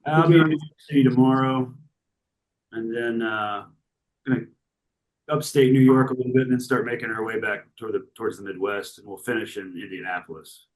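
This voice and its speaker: A man, a guest musician chatting casually from a hotel room over a video call, relaxed and rambling with lots of fillers. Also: medium pitch, brisk, rough recording. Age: 40s. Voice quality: gravelly. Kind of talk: casual interview chat.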